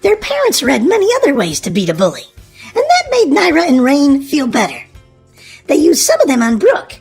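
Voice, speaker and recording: A woman reading a children's storybook aloud in an expressive, animated way. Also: sits high, normal speed, soft background music. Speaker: storybook narrator.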